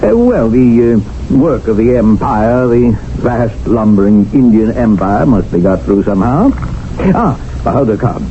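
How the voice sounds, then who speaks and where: An actor playing a pompous English colonial official who talks hesitantly and commandingly. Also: male voice, medium pitch, animated, a little slow, warm tone, natural voice. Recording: dull 1970s radio broadcast with faint noise.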